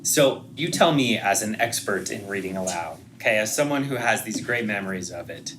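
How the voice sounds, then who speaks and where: A man's voice: on the low side, expressive, moderate pace, fairly bright tone. An American man in his thirties hosting a podcast in a casual, conversational interview style. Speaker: podcast host. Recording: clearly noisy background.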